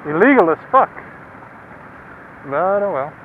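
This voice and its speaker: A man's voice: high voice, very animated, unhurried, phone-quality sound. A young man talking casually from his motorcycle while riding, laughing often and swearing freely. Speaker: motovlogger. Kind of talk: casual helmet-cam commentary. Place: on a motorcycle outdoors on the road. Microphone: helmet-mounted mic. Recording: faint road and engine noise.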